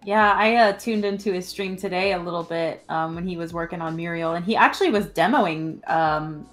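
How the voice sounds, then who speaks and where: A woman in her thirties chats as co-host of a gaming show. Her voice is a little low for a woman, and her delivery is informal and keen. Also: normal speed, a bit bright, faint music behind. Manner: enthusiastic, informal. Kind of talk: casual chat about gaming news. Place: indoor room at home.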